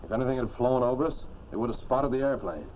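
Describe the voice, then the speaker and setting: Man playing a steady 1940s film skipper, muffled noisy soundtrack. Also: mid-range voice, fast talker, lightly acted. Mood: firm, resolved. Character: steady, decisive airplane skipper stranded in desert. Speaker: actor in a military training film.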